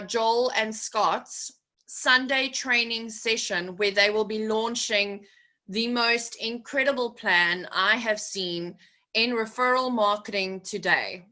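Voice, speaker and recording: Woman, bright voice, enthusiastic, team call host, video call. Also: on the high side. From South Africa. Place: guest house room.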